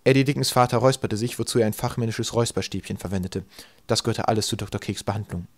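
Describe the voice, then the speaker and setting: A man narrating a comic children's story from a script, with dry, deadpan storytelling. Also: on the low side, quick pace, no echo. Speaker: audiobook narrator.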